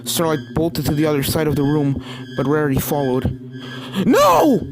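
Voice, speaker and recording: A woman's voice: sits low, little pitch movement, brisk, crowd noise, poor audio. A woman reading fan fiction aloud as scripted story narration.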